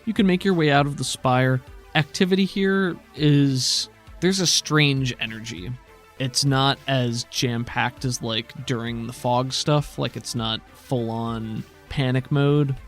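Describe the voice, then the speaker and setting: A man improvising scene descriptions as a game master, measured and pausing between phrases. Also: mid-range voice, a bit bright, faint music behind. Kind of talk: improvised tabletop game narration.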